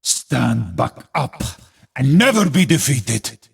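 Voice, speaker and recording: An actor playing a weary, resolute warrior who accepts death, speaking solemnly in a husky voice as a video game character. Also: male voice, medium pitch, very animated, heavily acted. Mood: defiant. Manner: solemn, measured delivery.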